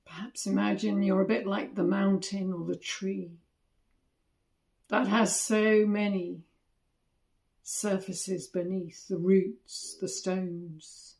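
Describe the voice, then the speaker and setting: Woman, meditation teacher, very unhurried, creaky voice, soothing, short phrases with long pauses. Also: medium pitch, steady tone, darkish tone. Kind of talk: guided body scan meditation.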